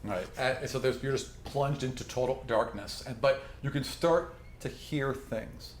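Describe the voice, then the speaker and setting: A man's voice: mid-range voice, a little slow. Man narrating a tabletop game, roomy sound. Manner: dramatic storytelling with spoken sound effects. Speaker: game master. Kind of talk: narrating a tabletop game, improvised scene description. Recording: roomy, with clear background noise.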